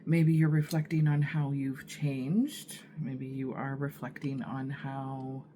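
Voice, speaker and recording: A woman reading tarot in an unhurried, musing way. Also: a bass voice, little pitch movement, mellow, slight background noise. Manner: unhurried, musing, many fillers and pauses. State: husky morning voice from allergies. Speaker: tarot reader. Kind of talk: casual tarot reading to camera.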